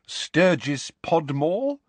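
A man voicing a mild, courteous wizard mentor in a storybook, calm and explanatory in manner. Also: a little high, very slow, husky, no room sound, pitched above the performer's own voice, full character voice. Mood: polite introducing.

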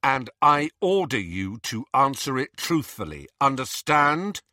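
A man's voice: mid-range voice, sing-song, measured pace, bright, forceful tone, no room sound, clean studio sound. A man voicing a fierce, commanding young male wizard giving orders.

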